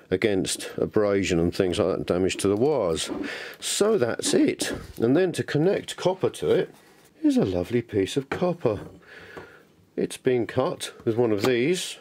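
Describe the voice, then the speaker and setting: Low-voiced man, enthusiastic DIY demonstrator. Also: darkish tone, slight background noise. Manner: enthusiastic, chatty. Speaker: DIY tutorial presenter. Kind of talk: informal hands-on demonstration, thinking aloud.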